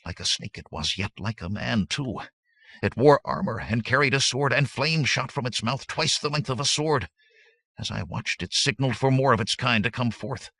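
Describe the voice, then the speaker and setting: A man's voice: mid-range voice, very animated, a little slow, bright, forceful tone, husky, no room sound, voice pushed up, exaggerated voice. A man voicing a nervous, cowardly bandit tracker in an audiobook, protesting fearfully. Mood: fearful, fervent. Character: nervous, cowardly, pinch-faced male bandit tracker, defensive.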